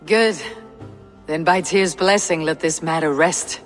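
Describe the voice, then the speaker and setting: A fair-minded Viking chief in a game cutscene. The delivery is firm, measured and brief, used to being obeyed. It echoes as in a big hall, over music. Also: male voice, fairly high pitch, a bit bright. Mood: decisive. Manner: commanding, measured, terse. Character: stern, fair-minded Viking leader judging disputes. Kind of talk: video game cutscene acting. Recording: long hall reverb.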